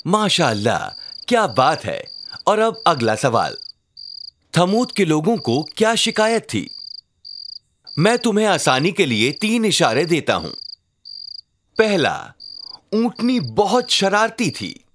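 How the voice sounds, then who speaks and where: A man voicing an encouraging father who narrates a children's cartoon story in an expressive way. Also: sits high, slow pace, pauses often, noisy background, exaggerated voice. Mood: cheerful, encouraging. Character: warm bespectacled father telling his child religious stories.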